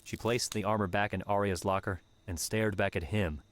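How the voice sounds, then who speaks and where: Deep-voiced male audiobook narrator. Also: steady tone, normal speed, a little hiss or hum. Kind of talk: scripted dramatic story narration.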